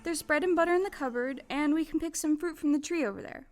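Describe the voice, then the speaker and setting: A woman's voice: high voice, fast, soft background music, natural voice. A woman acting an earnest, polite little farm girl in a stage audio play. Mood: matter-of-fact.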